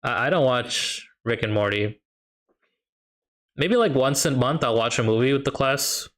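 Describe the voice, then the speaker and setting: A young man from the US, a high school math teacher, chatting casually while playing a strategy game and answering viewers' questions. He thinks aloud with loose fillers and a light Chicago lilt. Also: measured pace, fairly bright tone. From United States. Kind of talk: casual live-stream chat while gaming.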